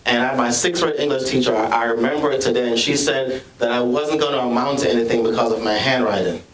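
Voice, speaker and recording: A man from Jamaica with a Jamaican accent, giving an earnest, measured speech as a school administrator at a student ceremony, often restarting his sentences. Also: mid-range voice, fairly bright tone. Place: school library, large room. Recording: echoey room, from far off.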